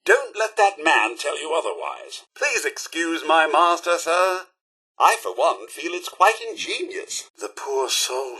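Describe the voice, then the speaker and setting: A man's voice: mid-range voice, sharp tone, slightly rough, heavily acted. A male actor playing a prim, loyal, fussy robot butler in a video game, with posh, theatrical British diction. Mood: polite, proper, then concerned, solemn. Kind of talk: video game companion dialogue.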